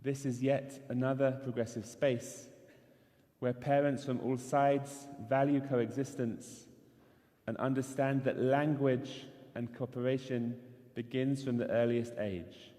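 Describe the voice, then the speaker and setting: A man giving a measured, earnest sermon as a rabbi in a synagogue. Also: medium pitch, steady tone, dark tone. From not Israel. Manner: measured, earnest preaching cadence. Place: synagogue sanctuary. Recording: long hall reverb.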